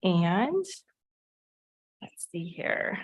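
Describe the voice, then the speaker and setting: A woman with a slightly low voice runs a county committee meeting. She moves briskly through procedure and calls for comments. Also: very animated, slightly rough, low-quality recording. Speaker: committee chair. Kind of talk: chairing a public meeting.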